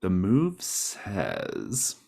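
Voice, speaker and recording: A man with a low, husky voice leads a tabletop roleplaying game. He moves between reading rules, describing scenes and prompting players with an encouraging manner. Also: wildly swooping pitch, drawn-out words, warm tone. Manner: encouraging, guiding players with questions. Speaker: game master. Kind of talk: narrating a tabletop roleplaying game, part read from rules and part conversational.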